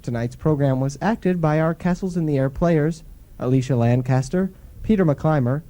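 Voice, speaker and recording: A man's voice: fairly high pitch, moderate pace, mellow. A man announcing for a radio show in a scripted sign-off style. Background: music.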